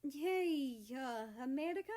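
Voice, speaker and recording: A woman's voice: high-pitched, animated, slow, darkish tone, voice pushed up. A woman voicing a shy, easily flustered young man who stammers and hesitates.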